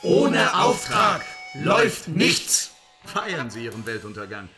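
A fairly high male voice plays a brazen manager hungry for money in a satirical radio play. He declaims insistently over music. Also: wildly swooping pitch, slow, exaggerated voice. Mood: pushy, pompous. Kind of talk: stylised satirical radio drama.

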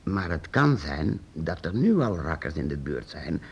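A man's voice: rumbling low, gravelly, husky, lo-fi sound, heavily acted. Een man speelt een geheimzinnige oude meester-uitvinder in een hoorspel, kalm en geruststellend. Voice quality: measured, reassuring, lively intonation. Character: secretive old master inventor, calm and fatherly. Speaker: master craftsman. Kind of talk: radio play acting.

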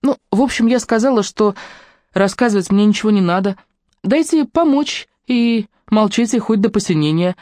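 A narrator voicing a shy but bold young woman who speaks Russian with a Ukrainian accent, in a lively, folksy manner. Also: mid-range voice, moderate pace, dry recording, clean studio sound, voice pushed up, close to an everyday voice. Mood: earnest.

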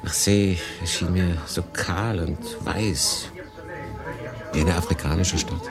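A male actor playing a world-weary refugee in 1940s France, confiding his story in a dramatic monologue with deliberate pauses. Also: low voice, steady tone, music in the background. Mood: reflective, wistful. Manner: conversational, deliberate pauses, shifting between emphatic and hushed. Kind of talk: dramatic first-person monologue, literary audio drama.

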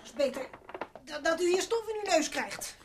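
A woman's voice: very high-pitched, expressive, moderate pace. An actress in a radio play playing a chatty, grumbling working-class cleaning lady who rambles and gossips. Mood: fussy, chatty. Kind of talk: Dutch radio play acting. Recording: noisy old radio play recording.

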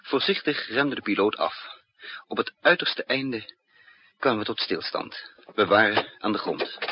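An actor playing a resourceful fugitive spy in a 1960s Dutch radio drama, with a commanding, clipped manner. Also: male voice, on the low side, lively intonation, slightly rough. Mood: measured, suspenseful. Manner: commanding, clipped orders alternating with measured narration. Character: resourceful, urgent male fugitive spy with lost memory. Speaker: narrator and lead actor. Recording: muffled, noisy 1960s radio recording.

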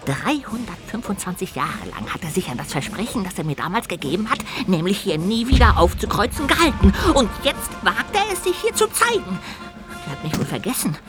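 A woman's voice: mid-range voice, big pitch swings, a little fast, over music, lightly acted. A woman voicing a small, mischievous castle ghost in a radio play, indignant and fond of ghostly hooting. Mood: agitated.

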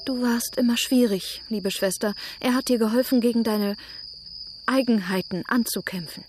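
An actress playing a gentle, virtuous young sister in a radio drama, earnest and reproachful, sounding wounded. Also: female voice, a little high, moderate pace, slight background noise, lightly acted. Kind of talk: German radio drama acting.

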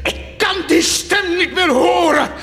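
An actor playing a tormented, fervent man who defies God, in declamatory radio drama style, swinging between hushed and roaring delivery. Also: extremely high pitch, slow pace, full character voice. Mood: desperate, furious. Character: tormented, fervent man defying God and claiming divine wounds. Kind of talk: theatrical radio drama acting. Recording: cavernous long reverb under loud music.

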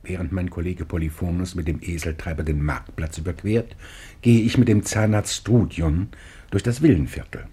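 An actor playing a businesslike, fee-minded lawyer who narrates wryly in a German radio play. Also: male voice, low voice, normal speed, dark tone, husky.

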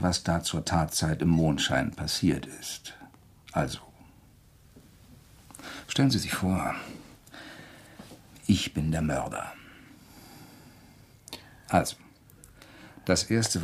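An actor playing a polite, coolly probing male writer who deliberately provokes, in a German crime radio play. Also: deep voice, slightly rough, heavily acted.

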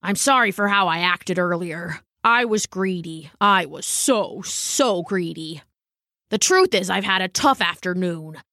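A woman's voice: on the low side, lively intonation, a little slow, sharp tone, no room sound, clean studio sound, heavily acted. A woman voicing a humble, earnest old male dog in a storybook, speaking hesitantly and sincerely. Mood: contrite. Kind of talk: storybook character voice.

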